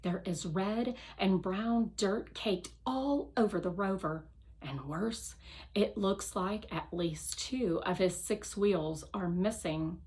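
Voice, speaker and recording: A woman reads a children's novel aloud. Her pitch sits in the middle and her pace is slow. She tells the story carefully and with expression. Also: darkish tone. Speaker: read-aloud narrator. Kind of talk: scripted children's novel narration.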